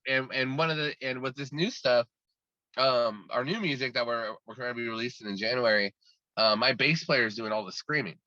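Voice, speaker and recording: A talkative man chats as an interview guest, rambling and restarting his sentences as he goes. His voice is bright and forceful. The sound is dry and dull, with a lo-fi edge. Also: medium pitch, a little fast, no echo. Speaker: guest musician. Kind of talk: casual interview chat.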